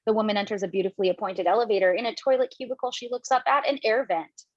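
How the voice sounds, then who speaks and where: A woman's voice: mid-range voice, rapid-fire, dry recording, lo-fi sound. Woman reading stage directions. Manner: reads directions plainly, with casual asides. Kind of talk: table read narration.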